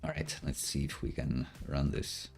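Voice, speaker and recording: Young Greek-born man, very low mellow voice, relaxed live-coding chat over faint music. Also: normal speed. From Greece. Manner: relaxed, thinking aloud while coding. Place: home room.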